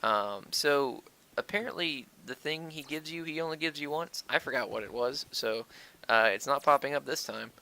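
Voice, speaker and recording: Rambling gamer man with a gravelly, bright voice. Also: medium pitch, moderate pace. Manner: rambling tangents, crude swearing. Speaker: gaming video host. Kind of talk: casual game playthrough commentary. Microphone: old stand-by mic. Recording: wonky, flawed stand-by microphone audio.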